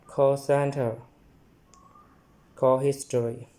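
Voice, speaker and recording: Slow, flat, dark-voiced man demonstrating a product. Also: medium pitch. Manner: halting, reading out short phrases.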